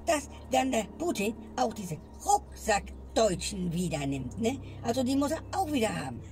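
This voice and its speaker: A woman's voice: a little high, sing-song, normal speed, a little mellow, slight background noise. A woman ranting in a comedy sketch and ending her lines with a questioning tag. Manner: grumbling, tags lines with "ne?". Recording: music bed behind voice. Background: music.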